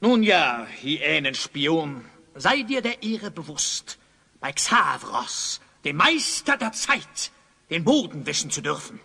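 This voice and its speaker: A man's voice: on the high side, sing-song, takes it slowly, gravelly, pushed and bright, husky, heavily acted. A man playing a vain, villainous wizard in a children's audio drama, pompous, imperious and gloating. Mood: haughty.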